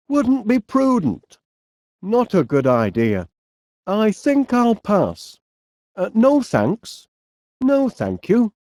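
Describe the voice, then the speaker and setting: A man voicing a genial, polite, eccentric middle-aged inventor with a Northern English accent in an animated comedy game. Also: high-pitched, no room sound, studio quality, full character voice. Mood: polite, reluctant. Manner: polite, quaint British turns of phrase, drawn-out words. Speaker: video game voice actor.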